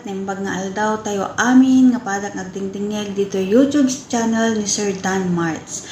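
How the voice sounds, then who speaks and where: A woman with an Ilocano accent reading out shout-out greetings, halting and stumbling over names. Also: a little high. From northern Philippines. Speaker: listener sending shout-out greetings. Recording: echoey room with faint background noise.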